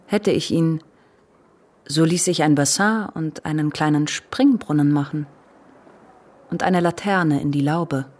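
A woman's voice: low-pitched, a little slow, pauses often, darkish tone. An actress playing a coquettish, wistful young lady in a literary radio drama.

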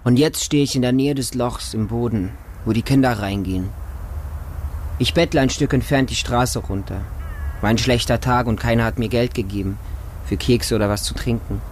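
A man's voice: mid-range voice, moderate pace, a little mellow, slight background noise, close to an everyday voice. A man playing a watchful, trusting homeless boy in a radio drama monologue, speaking in simple, childlike short sentences. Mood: tense, defiant. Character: homeless street boy living with a pack of dogs, watchful, trusting, defiant.

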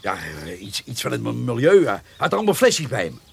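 Creaky, flustered, stammering farmhand. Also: male voice, medium pitch, very animated, fairly quick, a little hiss or hum. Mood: flustered, apologetic. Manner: hesitant, stammering, repeats himself. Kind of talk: radio play acting.

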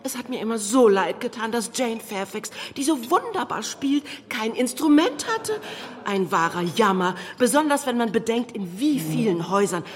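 An actress playing a gossipy, effusive society hostess who talks volubly and gushingly in a German radio drama. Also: female voice, on the high side, lively intonation, moderate pace, in a large hall, lightly acted. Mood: effusive, sympathetic.

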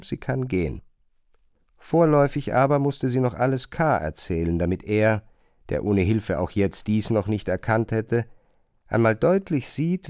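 Expressive male literary narrator, dry sound. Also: fairly low pitch, no room sound. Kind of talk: literary narration in a radio play.

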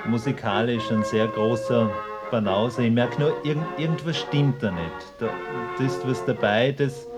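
A man acting an evasive, anxious small-town history teacher in a radio mock documentary, speaking haltingly and repeating himself. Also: medium pitch, loud music behind the voice, close to an everyday voice. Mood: puzzled, hesitant.

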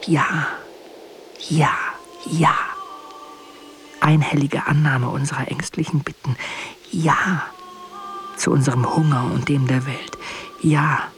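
Slow, hushed tender lover. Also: male voice, on the high side, fairly even intonation, slight background noise. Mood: earnest, yearning. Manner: hushed, intimate, halting phrases. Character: tender, wounded lover addressing his beloved Sarah. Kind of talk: poetic literary radio drama monologue.

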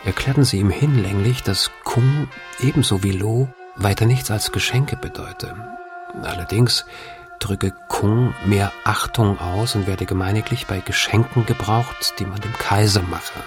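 A deep-pitched, dignified chronicler of centuries past , acted by a man. The delivery is formal and measured, like a literary reading in a radio feature. Music plays clearly behind the voice. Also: natural voice. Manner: formal, measured, old-fashioned wording. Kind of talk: literary reading of a historical travel account.

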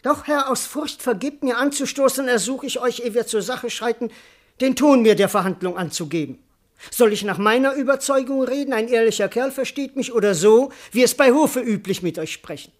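An actor playing a cheeky, fearful and bewildered servant in a classical German radio play, with theatrical, elaborate declamation. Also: male voice, extremely high pitch, normal speed. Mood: cheeky, deferential.

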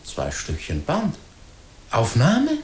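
An actor playing a thoughtful, idealistic doctor in 1940s German radio drama, reflective and earnest with a rhetorical turn. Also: male voice, mid-range voice, wildly swooping pitch, slow pace, darkish tone. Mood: astonished. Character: thoughtful male doctor, idealistic, musing, easily astonished. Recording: hissy 1940s radio recording.

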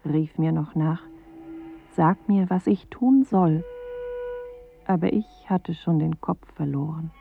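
A woman performing a pensive narrator in a poetic radio drama, speaking in measured, deliberate fragments on a muffled 1980s recording. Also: sits low, vocal fry, soft background music, full character voice. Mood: resigned. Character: pensive female narrator of a dreamlike inner journey.

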